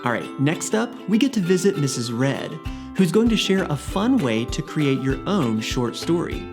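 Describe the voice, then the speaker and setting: A woman presenting a children's educational show with a slightly low, very expressive voice. Guitar music plays clearly behind her. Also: moderate pace. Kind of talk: presenter links for a children's programme.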